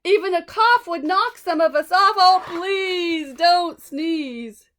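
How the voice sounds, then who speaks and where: A woman voicing agitated storybook monkeys who complain and beg in loud, emphatic rhyme. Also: very high-pitched, takes it slowly, voice pushed up, exaggerated voice. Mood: alarmed pleading.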